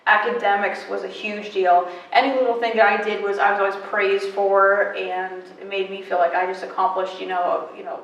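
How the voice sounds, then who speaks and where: A woman in her twenties from western Pennsylvania, telling stories about her life in a casual interview, chatty and animated with many fillers. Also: medium pitch, normal speed, hardly any pauses, muffled recording. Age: 20s. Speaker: guest. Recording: echoey room, mic at a distance.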